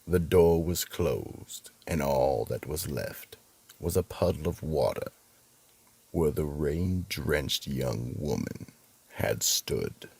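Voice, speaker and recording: A man with a gravelly, husky voice giving deliberate, solemn dramatic narration for a mystery radio play. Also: a bass voice, a little mellow, no room sound.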